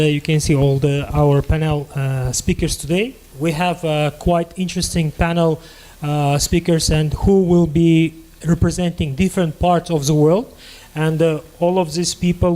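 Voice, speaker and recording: A man moderates a business panel discussion. His voice sits fairly high, and he speaks hesitantly with many pauses. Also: mellow. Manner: hesitant, frequent uh fillers.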